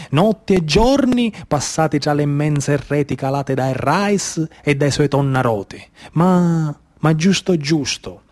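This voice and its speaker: Male actor as gentle giant tuna, Sicilian storyteller for radio theatre, colloquial and reassuring. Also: a little high.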